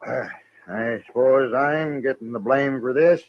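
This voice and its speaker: A man's voice: medium pitch, unhurried, lightly acted. An American man acting a proud, stubborn frontier cowman in a radio Western, wry and guarded. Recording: muffled, noisy old radio recording.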